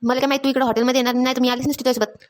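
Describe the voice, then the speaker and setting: A woman plays a nagging cartoon village housewife with a high voice. She talks extremely fast, impatient and complaining. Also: flat delivery, lightly acted. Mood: exasperated. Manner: complaining, colloquial. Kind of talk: Marathi comedy cartoon dialogue.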